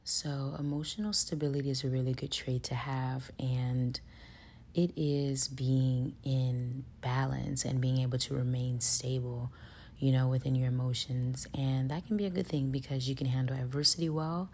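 A young woman hosting her podcast from a hotel room, hoarse with a soft raspy voice, chatting casually and laughing now and then. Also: extremely low pitch, normal speed. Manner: relaxed and chatty, laughs often, slangy asides. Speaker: podcast host. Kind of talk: casual solo podcast chat.

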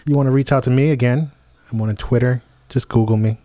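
Mellow laid-back man co-host. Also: medium pitch, fairly even intonation, a little fast. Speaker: podcast co-host. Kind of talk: casual movie chat.

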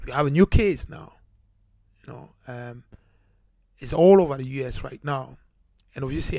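A man's voice: mid-range voice, creaky, no room sound. A man from Cameroon hosting his own talk show, speaking earnestly with many fillers and restarts. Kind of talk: solo podcast monologue. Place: United Kingdom.

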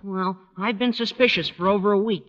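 An actor playing a lovesick, overwrought teenage high school boy with a plaintive delivery in a vintage radio sitcom. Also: a little fast, a bit bright. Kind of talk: 1940s-50s radio sitcom acting. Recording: muffled, hissy old radio, studio audience.

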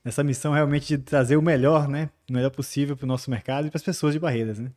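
A man, a guest on a podcast, telling stories in a relaxed way and often ending sentences with tag questions. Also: medium pitch, quick pace. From Bahia, Brazil. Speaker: guest, construction company chief. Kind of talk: casual podcast interview.

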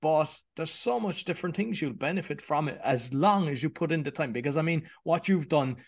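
A man's voice: fairly high pitch, lively intonation, a little fast. Man hosting a podcast chat. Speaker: podcast host. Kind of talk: casual interview conversation.

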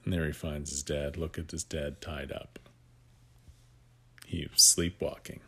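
A man reading a picture book aloud to young children, with gentle asides that guide the listener. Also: extremely low pitch, flat delivery, a little slow, breathy edge.

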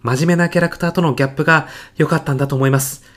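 A man playing an earnest physicist in an audio comedy sketch, speaking formally and reverently of his mentor with deadpan, grandiose solemnity. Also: fairly high pitch, racing. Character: earnest, reverent male physicist, devoted protégé of late professor.

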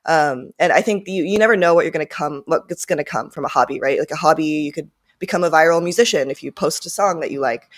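A woman's voice: deep voice, quick pace. A woman in her twenties, born and raised in Japan with Japanese as her first language, answering interview questions in a rambling, casual way with many fillers. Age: 20s. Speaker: guest, startup founder.

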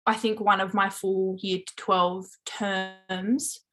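A woman's voice: medium pitch, little pitch movement, slow pace, fairly bright tone. Aussie girl, 20, podcast guest, super candid, lots of "like", swears a bit. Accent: Australian. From Hobart, Tasmania. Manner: chatty, candid, frequent "like" fillers, swears casually. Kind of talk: casual chat.

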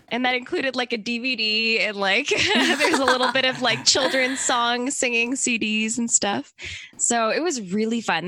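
A woman's voice: on the high side, pushed and bright, no echo. A young woman from Canada answering interview questions in a chatty, upbeat way with plenty of likes and ums. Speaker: interview guest.

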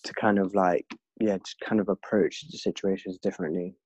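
Young english guy from london talking it through earnestly, pretty monotone, dry dead room. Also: low-pitched, a little fast, breathy edge, no room sound. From London, England. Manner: reflective, earnest. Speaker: podcast guest. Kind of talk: casual conversation. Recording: dull lo-fi dry recording.

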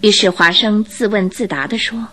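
A woman reading scripted narration for a radio drama in an expressive storytelling manner. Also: medium pitch, normal speed.

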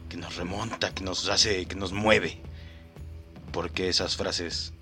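A man hosting a podcast with a low voice and a bright, forceful edge. He comments on clips in a mock-solemn, playful tone and speaks straight to the listener, with faint music underneath. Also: steady tone, slow pace. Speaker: podcast host.

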